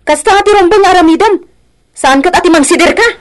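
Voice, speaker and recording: A woman playing a bossy, quick-tempered grocery owner, scolding and nagging with an Ilocano accent in a radio drama. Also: very high-pitched. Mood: indignant complaining.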